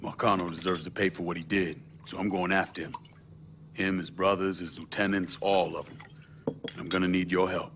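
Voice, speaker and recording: An actor playing a determined, commanding war veteran out for revenge in a video game, terse and firm. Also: male voice, low voice, little pitch movement, moderate pace, noisy background, close to an everyday voice. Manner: terse, plain-spoken, firm. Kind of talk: video game cutscene acting.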